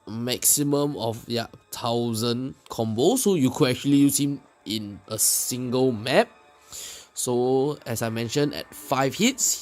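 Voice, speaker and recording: Male game commentator, unhurried and explanatory, mid-pitched, casual with halting phrasing. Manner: hesitant, explanatory, pausing mid-sentence. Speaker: gaming video creator. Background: music.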